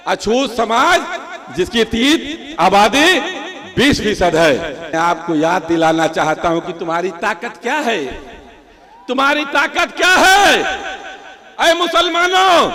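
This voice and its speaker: A man giving a fiery political speech at a rally, full of rhetorical questions and bursts of shouting. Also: very high-pitched.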